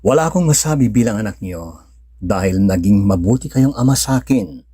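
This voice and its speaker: An actor playing a devout, tender young husband and father in a sentimental radio drama, speaking gently and sincerely with a Filipino accent. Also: lowish voice, animated, brisk, breathy edge, no room sound, studio quality. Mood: grateful, respectful. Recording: music bed under the voice.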